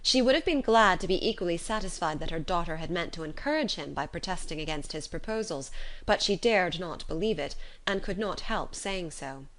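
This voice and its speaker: A woman reading literary narration as an audiobook, measured and articulate. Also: on the low side, animated, fairly bright tone. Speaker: audiobook reader.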